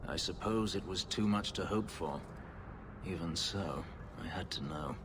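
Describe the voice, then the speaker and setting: An actor playing a brooding, bitter fugitive slave warrior in a video game, with grave, formal, measured speech. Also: male voice, low-pitched, noisy background. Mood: guarded, earnest.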